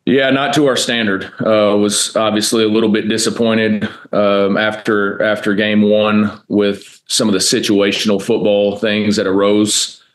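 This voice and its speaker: American male coach, 30s, low register, very flat delivery, press-conference answers, measured and matter-of-fact. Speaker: college football offensive coordinator. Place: indoor press room, seated at a table before a backdrop.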